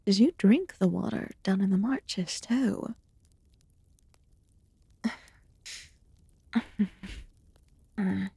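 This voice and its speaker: A woman voicing a calm, mysterious immortal witch in a soft-spoken ASMR fantasy roleplay, with formal, archaic phrasing and a breathy voice that often slips into whispers. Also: mid-range voice, takes it slowly, no room sound, full character voice. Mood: calm, soothing. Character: calm, mysterious immortal witch tending a wounded human guest.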